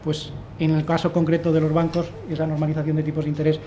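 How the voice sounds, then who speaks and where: A man's voice: on the high side, monotone, fast talker, dark tone, slight background noise. A man in his thirties speaking on a panel in a conference room, explaining his analysis with many restarts. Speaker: fund manager on a panel. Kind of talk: panel discussion. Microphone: desk microphone.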